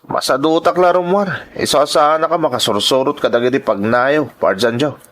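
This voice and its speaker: A man playing a scheming, lovestruck young man in an audio drama, with an animated delivery. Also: mid-range voice, normal speed, natural voice. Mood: reluctant.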